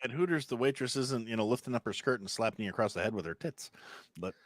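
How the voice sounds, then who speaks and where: A man's voice: lively intonation, fast talker, no echo. A man in his thirties from Wisconsin, a podcast guest telling long rambling stories and acting out other people's voices. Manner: rambling storyteller, acts out voices with big pitch jumps. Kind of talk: casual chat.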